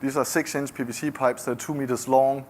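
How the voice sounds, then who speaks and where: A man's voice: mid-range voice, level tone, darkish tone, slight background noise. A middle-aged man from Denmark with a Danish accent, giving a conversational founder's talk with frequent restarts. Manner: conversational, halting with many restarts. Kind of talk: conference talk.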